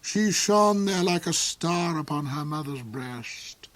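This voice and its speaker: A cold, ranting duke. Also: male voice, animated, slow, a bit bright. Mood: brooding. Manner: shrill, theatrical outbursts.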